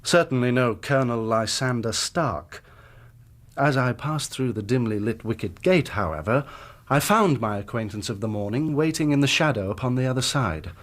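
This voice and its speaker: An actor playing a polite young engineer. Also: male voice, mid-range voice, expressive, moderate pace, a bit bright, close to an everyday voice. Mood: recounting. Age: young adult. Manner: formal, polite, guarded. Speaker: hydraulic engineer. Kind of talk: 1980s radio drama acting.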